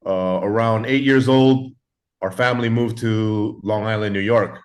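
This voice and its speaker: A man's voice: on the low side, fairly even intonation, unhurried. Man in his 40s, easygoing, swears a lot, talks over a video call. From raised in Los Angeles. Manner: laid-back, swears often, lots of fillers. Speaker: guest. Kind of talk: casual storytelling.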